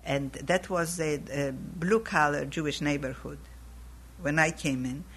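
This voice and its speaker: Older woman, slightly scratchy, reflective and warm in memory. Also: sits low, measured pace, husky. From Poland. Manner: reflective, animated when recalling youth. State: scratchy voice from a cold. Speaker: interviewee. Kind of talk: oral history recollection.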